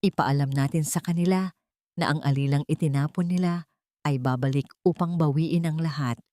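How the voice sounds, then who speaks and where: A woman's voice: sits low, moderate pace, mellow, no room sound, natural voice. A woman voicing a cold, determined wronged woman set on revenge, speaking with control in an audiobook drama. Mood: cold resolve.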